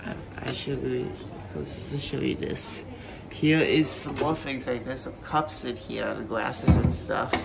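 A man giving a casual, halting tour of a rental house, filming on a handheld phone as he walks indoors. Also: mid-range voice, fairly even intonation, gravelly, a crowd in the background. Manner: rambling, halting, many fillers and restarts. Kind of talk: casual handheld walkthrough narration. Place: indoors in a rental house kitchen and living room. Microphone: phone camera held while walking. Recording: loud handling noise and clicks.

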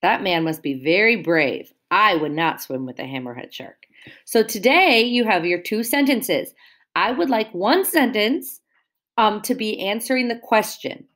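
A woman teacher reads a picture book aloud to young children, pausing to explain new words and ask them questions. She speaks slowly and patiently. Her voice swoops up and down in a lively, sing-song way. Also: mid-range voice.

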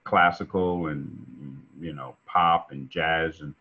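Very deep husky New man. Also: slow pace. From New York. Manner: relaxed, rambling with many fillers. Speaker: guest musician. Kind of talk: casual interview over video call. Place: home room indoors. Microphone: video call webcam. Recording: dull lo-fi call audio.

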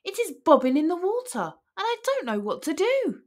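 A woman voicing an excitable, kind little boy in an eager, expressive storybook reading. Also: very high voice, moderate pace, voice pushed up. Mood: alarmed.

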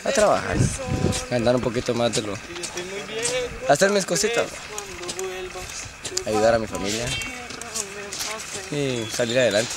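A man's voice: mid-range voice, lively intonation, a little slow, long pauses. A man from Guatemala, interviewed as a migrant, answering briefly and matter-of-factly.

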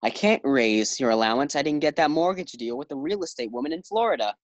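A man's voice: on the high side, animated, fast, dry recording, lightly acted. A man acting a sensible, exasperated husband in a 1940s-style radio sitcom.

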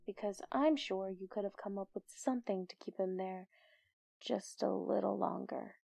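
An actress playing a level-headed vigilante teammate in an audio drama, direct and reasonable while probing. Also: female voice, medium pitch, normal speed, creaky, mellow, no echo, studio quality.

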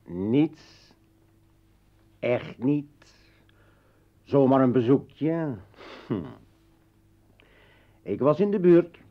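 An actor playing a defiant, sardonic revolutionary and failed poet in a radio drama, with a mocking, theatrical manner. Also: male voice, medium pitch, animated, extremely slow, long pauses. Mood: wry, mocking. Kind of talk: Dutch radio drama acting. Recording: muffled old radio play recording.

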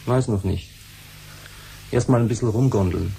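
An easygoing but quietly defiant young son in a seventies radio drama. His voice is fairly low and mellow, over faint hiss. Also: normal speed, gravelly, low-quality recording. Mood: disgruntled. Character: laid-back, musical young adult son, quietly defiant. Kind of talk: 1970s German science-fiction radio play acting.

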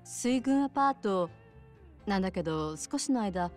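An actress playing a kind, soft-spoken bar owner with a polite, considerate manner in a video game cutscene. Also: female voice, medium pitch, animated, unhurried, faint music behind, lightly acted. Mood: polite phone manner. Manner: polite, considerate, gently casual.

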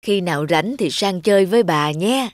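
A woman voicing a poor, kindly old woman who speaks quietly and tenderly, in a cartoon. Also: lowish voice, animated, moderate pace, no echo, clean studio sound, pitched below the performer's own voice, natural voice. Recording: music bed under voice.

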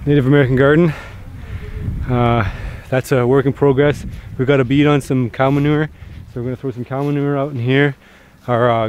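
A man's voice: mid-range voice, darkish tone, a little hiss or hum. Rambling man outdoors. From Canada. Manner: easygoing, rambling, frequent fillers and restarts. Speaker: homesteading vlogger. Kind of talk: casual outdoor vlog talk. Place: outdoors in snowy woods and fields. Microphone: handheld camera at arm's length.

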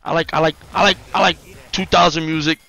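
A young man streaming a game, excitably calling out short, repeated instructions to his teammates. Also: fairly high pitch, quick pace, creaky. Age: young adult. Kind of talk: live gaming commentary and team callouts. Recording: music behind the voice.

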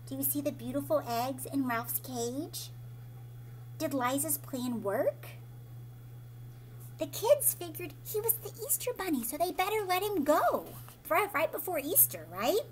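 A woman in her thirties reads a storybook to young children in a fairly high voice. She is expressive, explains as she goes and puts questions to her listeners. There is some background noise in the room. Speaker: teacher reading aloud to children. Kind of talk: picture-book read-aloud with questions to young listeners. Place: living room at home, indoors.

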